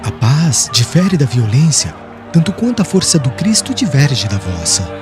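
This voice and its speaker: Guy reading as calm brave young preacher standing trial, audiobook, firm and declaiming, music playing behind. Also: mid-range voice, normal speed, natural voice. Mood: firm, serene. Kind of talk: dramatic audiobook character reading.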